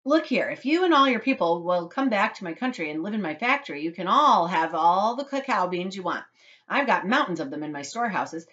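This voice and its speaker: A woman voicing an eccentric, excitable man who owns a chocolate factory, telling things theatrically and emphatically in a children's storybook. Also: medium pitch, fairly quick, lo-fi sound. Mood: enthusiastic.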